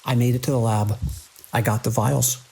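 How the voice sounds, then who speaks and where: An actor playing a beleaguered, idealistic hospital director in a horror audio drama, speaking earnestly and urgently in halting phrases. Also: male voice, mid-range voice, steady tone, vocal fry, dark tone, slightly rough. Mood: shaken, haunted.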